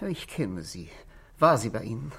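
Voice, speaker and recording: Anxious, breathy-voiced brooding husband. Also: male voice, on the low side, very animated, a bit bright, exaggerated voice. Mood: nervous. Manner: hesitant, halting, apologetic, dropping to a whisper. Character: anxious, brooding husband, polite but stubbornly suspicious. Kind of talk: 1960s German radio play acting.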